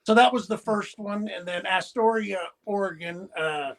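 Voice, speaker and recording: A man from the Pacific Northwest, a podcast guest telling stories in a rambling, halting way with many restarts, blunt and folksy. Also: high voice, fairly bright tone, rough recording. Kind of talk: rambling conversational storytelling.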